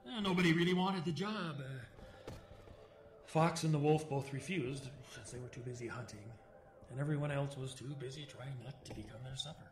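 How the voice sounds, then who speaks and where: A man's voice: mid-range voice, moderate pace, slight background noise, close to an everyday voice. An actor playing a haunted older man telling stories in an audio drama, plain-spoken and folksy, with clipped phrasing and wry humor. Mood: wry storytelling.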